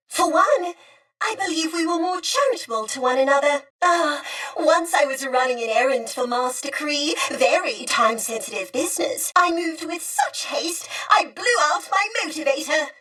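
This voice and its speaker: Video game droid: high-pitched, genteel and talkative, centuries-old lady with theatrical, polite, wistful delivery. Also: a bit bright, recorded from a distance. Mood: polite, reminiscing. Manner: prim, courteous, wistful, theatrical phrasing. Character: genteel, chatty female protocol droid, two centuries old. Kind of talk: scripted video game character acting.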